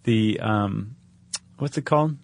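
A man's voice: lowish voice, slow. A man co-hosting a podcast, chatting casually and retelling stories with wry, jokey asides. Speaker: podcast co-host. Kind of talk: casual two-person chat, retelling stories.

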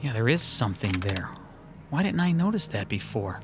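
A man's voice: medium pitch, sing-song, normal speed, over music, lightly acted. A man playing an anxious troubled artist. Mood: pleading. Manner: pleading, uneasy, insistent. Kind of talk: audio drama acting.

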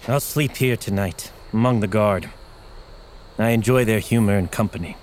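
A man plays a scornful prince with a fairly low voice. He is cold, haughty and dismissive, in a fantasy audio drama with a faint outdoor ambience behind him. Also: normal speed, a little mellow, a little hiss or hum, lightly acted. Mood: curt. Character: cold, arrogant, scornful grown prince, contemptuous of weakness.

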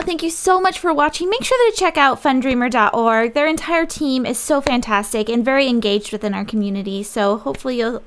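A woman's voice: high voice, fairly bright tone. A woman hosting a live web interview show, bubbly and effusive, speaking over a video call.

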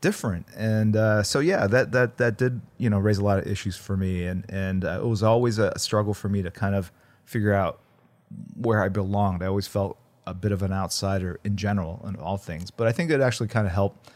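Man in his fifties, from South Korea, low voice, reflective, halting, lots of restarts. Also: darkish tone. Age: 50s. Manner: reflective, many fillers and restarts. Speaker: guest artist. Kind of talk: casual interview conversation.

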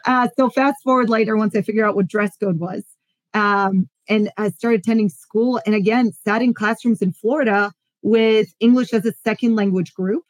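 Brief: middle-aged woman from Poland, animated storyteller, dry close sound, mid-pitched. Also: normal speed, no echo. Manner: animated storyteller, acts out quotes, laughs often. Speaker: podcast guest. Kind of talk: casual interview storytelling.

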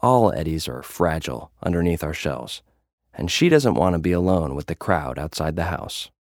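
Young gladiator influencer, low-pitched, dry studio sound, jaded, tough front, slangy, confessional audio drama narration. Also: male voice, animated, normal speed, darkish tone, dry recording. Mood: jaded, confiding.

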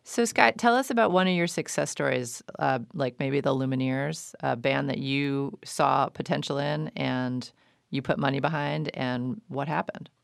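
A man's voice: medium pitch, normal speed, vocal fry, smooth, no echo, clean studio sound. A man who hosts the show and runs a label himself, asking his guest curious, conversational questions. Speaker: podcast host, label owner. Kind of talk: interview questions.

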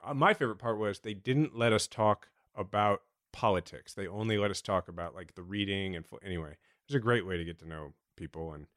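A man's voice: on the low side, brisk, fairly bright tone, dry recording, clean studio sound. A man co-hosting a podcast, chatty and enthusiastic, rambling with many fillers and restarts. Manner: rambling, self-interrupting, enthusiastic with frequent fillers. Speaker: podcast co-host. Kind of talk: casual podcast chat.

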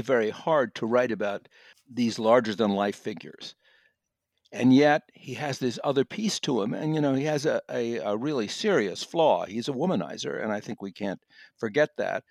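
A man's voice: mid-range voice, animated, moderate pace, darkish tone, dry recording. American man reviewing books, dry close sound. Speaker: audiobook reviewer and podcast guest. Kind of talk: conversational review commentary.

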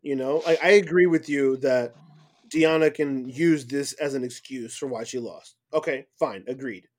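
A man's voice: mid-range voice, moderate pace. A man co-hosting a podcast, talking casually in an earnest way, often hesitating and repeating words. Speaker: podcast co-host. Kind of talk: casual chat.

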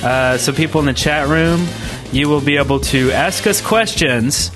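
A man's voice: a little high, normal speed, music in the background. A man hosting a gaming podcast with casual, off-the-cuff chat. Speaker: podcast host.

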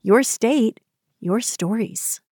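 A woman's voice: fairly high pitch, drawn-out words, mellow, slightly rough, no echo, studio quality. A woman hosting a radio magazine, reading scripted announcements. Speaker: radio program host. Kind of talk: scripted show credits.

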